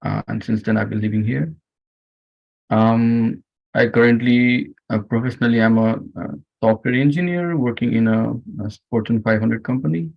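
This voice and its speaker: A man from Nepal in his thirties or forties with a Nepali accent, speaking informally and haltingly as host of an online meditation session. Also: mid-range voice, steady tone, creaky. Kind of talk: informal personal talk to online group. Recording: muffled, dull lo-fi online audio.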